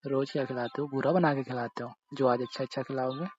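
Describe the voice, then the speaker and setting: A man with a husky voice chatting casually and intimately, teasing and insistent, often pushing back with questions. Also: medium pitch, steady tone, fast, a little mellow. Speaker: husband. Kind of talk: intimate casual chat, playful bickering. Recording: dull lo-fi call-style recording.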